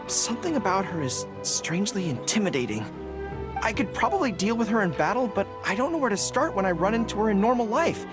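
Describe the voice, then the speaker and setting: A young man playing an earnest, awkward, easily flustered dog-eared general in an anime-style video game. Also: sits high. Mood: uneasy, explaining. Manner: earnest, hesitant, boyish.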